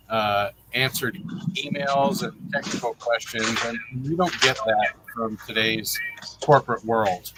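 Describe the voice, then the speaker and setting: A man giving a casual testimonial as a livestream guest, over a noisy recording with clicks. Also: mid-range voice.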